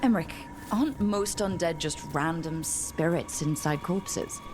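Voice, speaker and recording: Female actor as curious adventurer hero, deep female voice, plain-spoken, conversational, fantasy game dialogue, clear music bed. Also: measured pace, close to an everyday voice. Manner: direct, inquisitive, conversational. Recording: game music playing behind.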